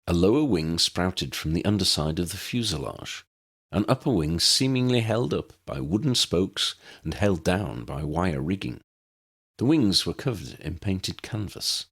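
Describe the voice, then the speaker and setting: A man's voice: low voice, animated, measured pace, gravelly, a bit bright, breathy edge. A man narrating written fiction in a scripted storytelling style. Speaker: audiobook narrator.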